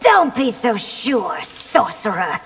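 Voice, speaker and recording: A woman playing a scornful, taunting fighter in a fighting game, with a raspy voice. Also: on the high side, sing-song, takes it slowly, heavily acted.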